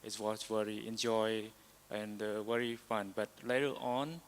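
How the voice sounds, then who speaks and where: A man's voice: fairly low pitch, level tone. Hesitant man in echoing hall. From Cambodia. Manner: halting, many hesitations. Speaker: embassy delegate. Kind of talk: panel sharing. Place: large conference hall.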